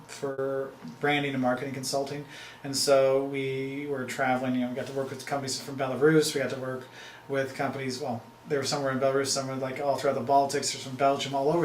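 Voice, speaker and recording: A man's voice: medium pitch, reverberant, slight background noise, poor audio. A man in his thirties, a marketing professor and travel video host, talking casually to camera in a rambling, chatty way. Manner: rambling, chatty, full of fillers and restarts.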